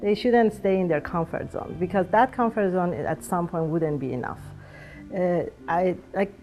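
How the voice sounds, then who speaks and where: A woman's voice: on the low side, moderate pace, darkish tone, faint music behind, low-quality recording. A woman in her thirties whose first language is Farsi, answering interview questions earnestly and sometimes searching for words. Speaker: alumna guest.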